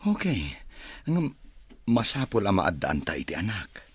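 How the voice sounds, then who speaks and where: A man acting a deep, husky, earnest husband. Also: wildly swooping pitch, a little slow, no room sound, heavily acted. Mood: pleading. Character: earnest grown son and lovestruck husband wanting children. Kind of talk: audio drama acting.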